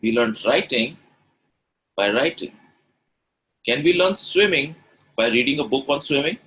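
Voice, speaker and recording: A man's voice: mid-range voice, lively intonation, measured pace, fairly bright tone, rough recording. A middle-aged man with an Indian English accent, a native Gujarati speaker, teaching an online webinar over a video call and asking his audience rhetorical questions that he repeats. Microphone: video call microphone.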